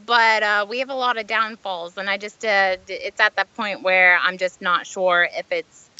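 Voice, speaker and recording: A woman's voice: medium pitch, steady tone, moderate pace, pushed and bright, smooth, no room sound. A woman speaking casually and a little hesitantly as a client in a phone consultation. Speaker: client of a psychic. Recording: dull, lo-fi phone call.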